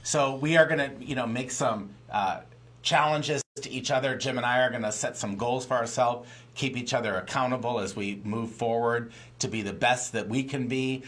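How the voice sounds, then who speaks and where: A man's voice: mid-range voice, fairly bright tone. A man co-hosting a live podcast, talking earnestly about growth and accountability. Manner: earnest, motivational. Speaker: podcast co-host. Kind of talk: casual live podcast chat.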